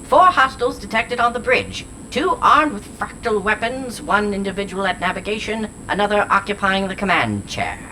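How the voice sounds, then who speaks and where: An unhinged, whimsical artificial intelligence from an audio drama. The delivery is theatrical and eccentric, with very lively, swooping intonation. A clear layer of sound-effect noise sits behind the voice. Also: female voice, medium pitch, moderate pace, few pauses, low-quality recording. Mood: clipped, robotic. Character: whimsical, unhinged sentient shard voice inhabiting a robot.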